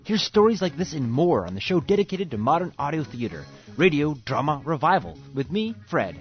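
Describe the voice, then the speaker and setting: Animated male promo announcer over music. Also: a little high, a little fast, dull audio. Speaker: show host.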